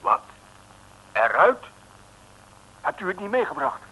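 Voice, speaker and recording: An actor playing a menacing communist agent in a comic radio serial, speaking in stern, clipped commands. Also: male voice, sits high, big pitch swings, slow, bright, forceful tone, husky, exaggerated voice, poor audio. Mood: demanding. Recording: old 1950s radio recording.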